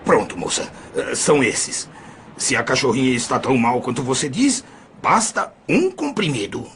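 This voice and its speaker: An actor playing a kindly old pharmacist in an audio drama, with a husky, gravelly voice and a hesitant, fatherly manner. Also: mid-range voice, big pitch swings, normal speed, fairly bright tone, slight background noise. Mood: feigned casual. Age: older. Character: kindly, shrewd old male pharmacist, sensitive grandfather.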